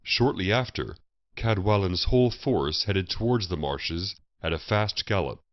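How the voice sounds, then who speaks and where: A man's voice: sits low, animated, measured pace. A man reading a historical fantasy story as a scripted audiobook narration. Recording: muffled, roomy voice recording.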